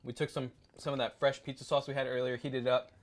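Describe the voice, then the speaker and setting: A man's voice: medium pitch, little pitch movement, fast talker. A young man co-hosting a casual cooking video, giving step-by-step instructions. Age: young adult. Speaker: cooking show co-host. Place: home kitchen. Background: faint instrumental music.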